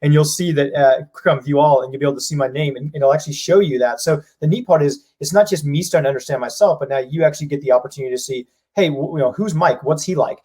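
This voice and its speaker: Brief: husky male exec, rapid energetic delivery, voices people's reactions. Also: fairly high pitch. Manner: fast, energetic, acts out what people say. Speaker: company president. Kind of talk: business live-stream discussion.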